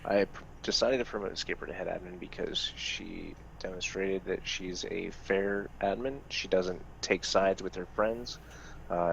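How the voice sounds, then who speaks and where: Deep gravelly man answering questions. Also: a little hiss or hum, rough recording. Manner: plain, matter-of-fact, hesitant fillers. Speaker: head of gaming community staff. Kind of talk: casual group Q&A.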